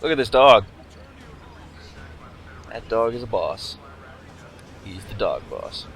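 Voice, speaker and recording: A man trading dry, teasing jokes during a casual gaming session. His voice sits a bit low, and a faint hiss runs under it. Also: expressive, slow pace, gravelly, a bit bright. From United States. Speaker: let's play co-commentator.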